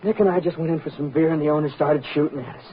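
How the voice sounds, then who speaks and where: Worn-out, scared male fugitive, played by an actor, higher register, halting and pleading, old radio drama, phone-thin sound. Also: steady tone, close to an everyday voice. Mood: weary, defensive. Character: exhausted, frightened young fugitive, desperate and pleading. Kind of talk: 1940s-50s radio drama acting. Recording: noisy, tinny old-time radio recording.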